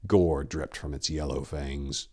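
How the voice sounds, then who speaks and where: Male narrator, deep pitch, grave, intense, theatrical, dark fantasy horror audiobook. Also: steady tone, measured pace, breathy edge.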